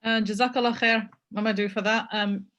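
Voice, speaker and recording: A woman moderating an online panel over a video call, speaking in a measured way and pausing often. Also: a little high, little pitch movement, fairly bright tone, highs cut off, slight background noise. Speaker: webinar moderator.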